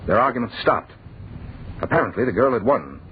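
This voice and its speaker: An actor playing a bewildered, frightened young man who tells his nightmare in halting, intense old-time radio narration, repeating words in disbelief. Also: mid-range voice, lively intonation, a little hiss or hum, close to an everyday voice. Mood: disbelieving. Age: young adult. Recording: narrow, muffled old radio broadcast.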